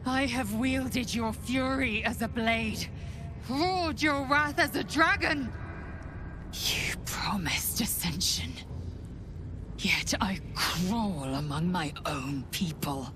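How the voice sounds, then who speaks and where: A woman's voice: fairly high pitch, exaggerated voice. An actress voicing a fierce, proud female githyanki warrior with a harsh, declaiming manner, heard over a music score. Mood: zealous anguish.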